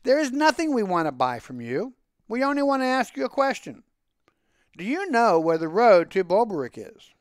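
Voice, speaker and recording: A man's voice: sits high, sing-song, moderate pace, smooth, dry recording, clean studio sound, pitched above the performer's own voice. A man voicing a flustered, chatty townswoman lost in a storybook forest, exasperated and explaining herself. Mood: impatient.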